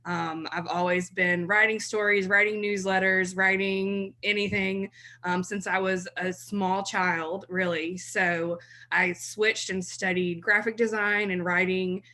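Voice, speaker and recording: A chatty woman in her thirties, originally from South Mississippi, chats as a podcast guest about her career. Her voice is bright and forward, and she laughs easily between thoughts. Her pitch sits in a middle range for a woman. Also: level tone, a little slow. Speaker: blog writer, guest. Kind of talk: podcast interview.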